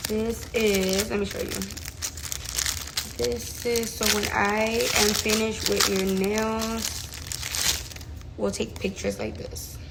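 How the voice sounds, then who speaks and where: A woman nail technician with an accent chatting excitedly as she unboxes her supplies in a casual vlog. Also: medium pitch, takes it slowly, gravelly, long pauses, very noisy. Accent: non-native English accent. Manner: chatty and excited, lots of fillers. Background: loud crinkling and rustling of packaging.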